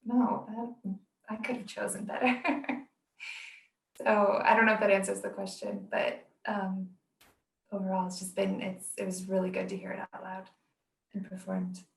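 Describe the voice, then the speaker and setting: A woman's voice: medium pitch, flat delivery, moderate pace, vocal fry. A woman, a translator, giving a casual panel answer, recorded with echo from a distance. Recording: echoey, distant microphone.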